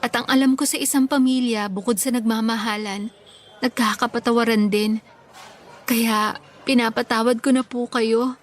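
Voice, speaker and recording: A woman's voice: a little high, fairly even intonation, moderate pace, close to an everyday voice. A woman with a Filipino accent playing a tender, responsible elder sister in a radio drama. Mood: tender, resolute.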